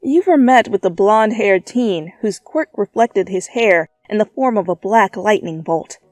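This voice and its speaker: A woman's voice: medium pitch, animated, moderate pace, darkish tone, no echo. Woman doing a dramatic fanfic reading, playful, dry mic w some music behind. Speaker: fan fiction reader. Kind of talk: dramatic storytelling narration. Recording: background music under voice.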